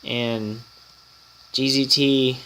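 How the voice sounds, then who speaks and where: A man's voice: mid-range voice, flat delivery, very slow, sharp tone, low-quality recording. Male gaming vlogger, slightly congested and nasal, rambling casual delivery, faint background hiss. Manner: rambling, chatty, easily startled. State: slightly nasal from a coming cold. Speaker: gaming channel host and guild leader. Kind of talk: casual mobile game commentary vlog.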